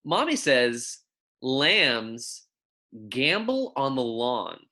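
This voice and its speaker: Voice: medium pitch, big pitch swings, very slow, sharp tone. A teacher giving a read-aloud lesson for young children in a friendly, informal, encouraging way. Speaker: elementary school teacher.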